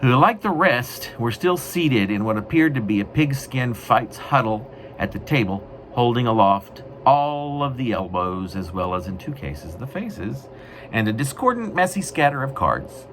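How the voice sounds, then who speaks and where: A man reading his own writing as a poet, with deliberate, emphatic phrasing. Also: mid-range voice, sing-song, a bit bright, a little hiss or hum. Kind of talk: dramatic poetry reading with casual spoken introduction.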